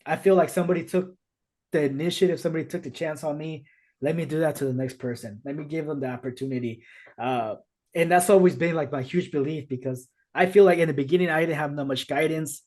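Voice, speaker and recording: A man's voice: fairly high pitch, fast talker, a little mellow. A man whose first language is Spanish, hosting a podcast over a video call and telling his own story earnestly, with many restarts. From United States. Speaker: podcast host. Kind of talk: casual conversation.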